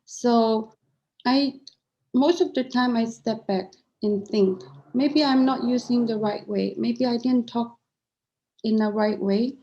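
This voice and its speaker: A middle-aged woman with a Cantonese accent answers interview questions in an earnest, candid way. She often repeats and restarts her words, and her voice sits a little high for a woman. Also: takes it slowly, dark tone, highs cut off. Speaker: guest. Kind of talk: interview conversation.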